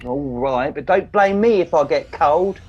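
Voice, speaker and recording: A man's voice: fairly high pitch, expressive, unhurried, highs cut off, faint music behind. A man playing a naive, sulky young private and mummy's boy in a comic radio play, childish and a little whiny.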